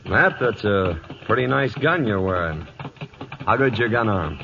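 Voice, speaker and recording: An actor playing a hard, domineering American cattle baron, blunt and commanding, in a 1940s Western radio drama. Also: male voice, lowish voice, animated, a little slow, creaky, pushed and bright, exaggerated voice. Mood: gruff. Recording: muffled 1940s radio broadcast.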